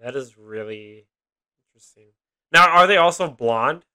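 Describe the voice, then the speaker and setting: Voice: medium pitch, animated, moderate pace, pushed and bright, clear, resonant tone. A host in casual conversation who reacts often, laughing and swearing freely.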